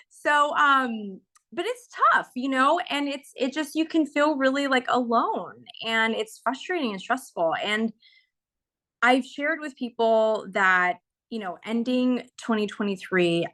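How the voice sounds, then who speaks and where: A woman in her forties from California, sharing her experience of a course in a casual, candid way, with frequent laughs and fillers. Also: on the high side. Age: 40s. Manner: warm, candid, laughs often, many fillers. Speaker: course member sharing a testimonial. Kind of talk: casual testimonial on a video call.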